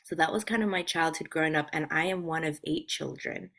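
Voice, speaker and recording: Female guest from Hawaii, 30s to 40s, low register, reflective informal conversation. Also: brisk, gravelly, a bit bright. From Hawaii, USA. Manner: reflective, informal, uses "like" often. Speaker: guest, life coach and former therapist. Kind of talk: casual personal storytelling.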